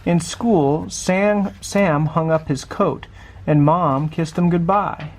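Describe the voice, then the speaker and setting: Children's picture book narrator: man, slightly high voice, read-aloud style with chatty asides. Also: slow, low-quality recording. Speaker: storybook reader.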